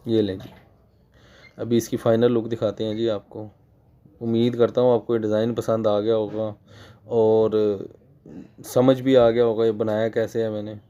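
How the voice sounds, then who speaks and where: A man from Pakistan with a Pakistani Urdu accent, hoarse from a cold, patiently explaining sewing machine work in a casual tutorial. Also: lowish voice, flat delivery, moderate pace, long pauses, mellow. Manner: patient, repeats points for emphasis; clears his throat often. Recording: dull lo-fi recording.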